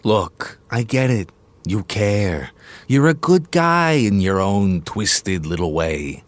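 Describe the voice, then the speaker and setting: A cocky, fast-talking-type talent agent, with pitch that swoops around as he flatters and pushes. The sound is close and dry, in the manner of a naturalistic audio drama. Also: no room sound. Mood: smooth, reassuring. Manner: slick, cocky salesman banter. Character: brash, pushy, flattering Hollywood talent agent man.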